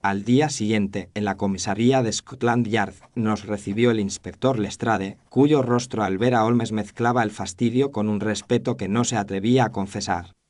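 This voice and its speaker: A man with a somewhat low voice narrates a period detective tale. His delivery is scripted, literary and measured, told as a first-person account. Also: steady tone, darkish tone.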